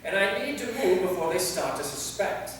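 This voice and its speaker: A man's voice: sits high, expressive, moderate pace, a bit bright. A man playing a weary, easily exasperated immortal vampire in a staged comedy reading. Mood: earnest, flustered. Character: exasperated immortal male vampire from the Middle Ages. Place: theater, recorded from a distance. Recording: echoey hall, far mic, faint noise.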